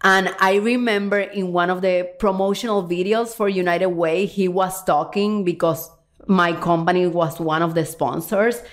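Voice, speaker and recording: A middle-aged woman from Cuba with a Cuban Spanish accent, a native Spanish speaker, hosting a solo podcast as a confidence coach, earnest and animated, slowing down to stress points and hurrying through asides. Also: mid-range voice. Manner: earnest, animated, slows for emphasis and speeds up in asides.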